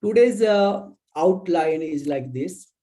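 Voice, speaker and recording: A male academic with an Indian English accent delivers a webinar lecture. His voice sits a little high for a man yet has a dark, relaxed tone. He phrases things deliberately and haltingly, as a visiting professor walking through slides.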